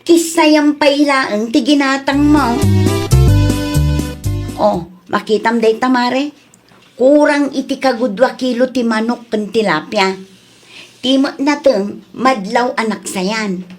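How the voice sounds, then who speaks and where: A woman's voice: a little high, takes it slowly, pauses often, faint music behind. A woman playing a suspicious, insistent customer with a pointed, scolding manner in a comedy drama. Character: suspicious, insistent female customer exposing a cheat.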